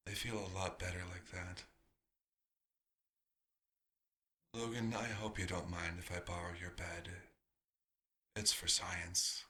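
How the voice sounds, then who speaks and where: A man playing a nostalgic, proud vampire doctor in an ASMR roleplay, musing theatrically and slipping into breathy whispers. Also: sits low, flat delivery, moderate pace, bright, forceful tone. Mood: drowsy.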